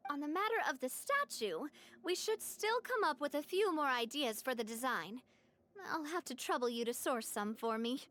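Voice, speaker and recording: Elegant, high-voiced young woman boss. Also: expressive, normal speed, a bit bright. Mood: businesslike. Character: elegant young female boss, cheerful, gracious, reassuring. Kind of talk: video game character acting.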